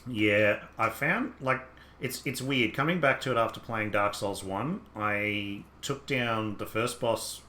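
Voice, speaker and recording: A man's voice: fairly low pitch, a little slow, bright, forceful tone, echoey room. A young Australian man with an Australian accent, rambling easily through a game stream while chatting with viewers. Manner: rambling, easygoing, talks to himself about the game. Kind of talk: casual gameplay commentary chatting with live viewers.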